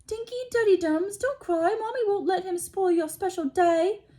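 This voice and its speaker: A woman voicing a doting, anxious storybook mother, by turns cooing and sharp. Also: very high voice, normal speed, voice pushed up. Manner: cooing to her son, sharp with others.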